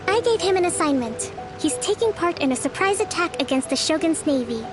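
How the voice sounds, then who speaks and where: A composed young female commander in an anime game. Her high voice is polite and formal, thoughtful in delivery, with music clearly behind it. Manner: polite, measured, formal phrasing. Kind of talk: anime video game dialogue acting.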